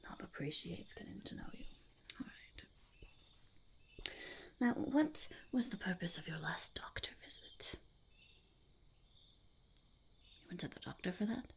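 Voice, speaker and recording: A woman voicing an eccentric, self-important goblin in a whispered ASMR roleplay, breathy with creaky edges, fussy, hesitant and repetitive. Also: fairly low pitch, lively intonation, normal speed, pauses often. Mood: awkward, approving. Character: eccentric, self-important goblin overlord interviewing a would-be sidekick.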